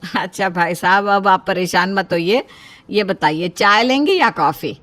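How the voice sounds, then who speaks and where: A woman portrays a middle-aged mother in a comic animated wedding story. She sounds worried but practical, speaking at a medium pitch in a coaxing, exasperated way. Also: measured pace, lightly acted. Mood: polite, flustered.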